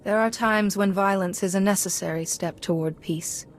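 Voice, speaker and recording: An actress playing a calm, earnest female Jedi in a video game, composed in manner. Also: medium pitch, normal speed, faint music behind, lightly acted. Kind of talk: video game cutscene acting.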